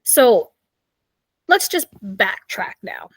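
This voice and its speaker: A woman in her thirties with a raspy voice, hosting a podcast and chatting casually with lots of fillers. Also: medium pitch, big pitch swings, extremely slow, dry recording. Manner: chatty, rambling, with many fillers. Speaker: podcast host. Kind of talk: casual solo storytelling.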